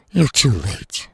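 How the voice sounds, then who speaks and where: A man playing a menacing, sadistic demon villain in an anime audio roleplay, taunting in a raspy, gravelly voice. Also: lowish voice, no room sound, exaggerated voice. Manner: slow, taunting, drawn-out. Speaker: voice actor.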